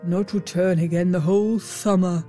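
A woman voicing a gentle, solemn male bear in a fairy tale, speaking gravely and deliberately. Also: on the low side, fairly even intonation, soft background music, natural voice. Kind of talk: storybook character voice.

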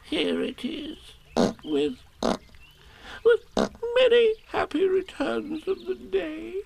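Timid little piglet, very high-pitched. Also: male voice, sing-song, takes it slowly, creaky, slight background noise, full character voice. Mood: sheepish, tearful. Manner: hesitant, stammering, repeats himself. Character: small timid anxious male piglet, sweet and apologetic. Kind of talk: children's storybook character voice.

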